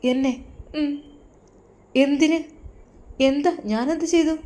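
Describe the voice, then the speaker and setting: A woman voicing a gentle newlywed husband who speaks softly and coaxingly, pitched lower and quieter than her own voice. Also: fairly even intonation, slow pace. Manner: soft, low, coaxing.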